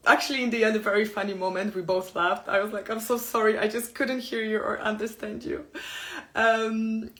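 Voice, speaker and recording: A middle-aged woman from Poland, whose first language is Polish, speaking English with a Polish accent. She gives a personal self-help talk in an earnest, open way, in slow and careful phrases. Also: fairly low pitch, fairly bright tone.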